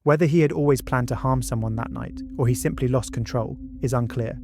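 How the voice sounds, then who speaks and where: A man reading scripted true-crime documentary narration in a measured, dramatic storytelling manner. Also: medium pitch. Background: background music.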